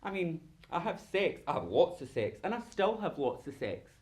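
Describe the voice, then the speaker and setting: Man acting a high-voiced, campy, sardonic monologist. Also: big pitch swings, normal speed, darkish tone. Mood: defiant, confiding. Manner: dramatic, sardonic, campy, given to mimicking dialogue. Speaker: actor performing a monologue. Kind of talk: theatrical solo stage monologue.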